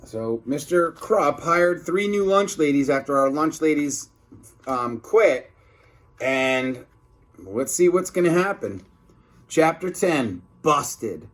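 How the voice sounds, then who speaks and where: Slow, animated man reading to children. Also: a little high. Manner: slow, deliberate, teacherly. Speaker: storybook reader for children. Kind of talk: expressive read-aloud with asides to young listeners.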